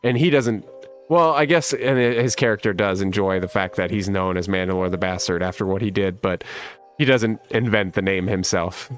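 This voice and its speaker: A man in his thirties with a rough smoker's voice, casually chatting on a livestream and reading viewer comments, with lots of laughs. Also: deep voice, steady tone, moderate pace, soft background music. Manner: laid-back and rambling, laughs often. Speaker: livestream host.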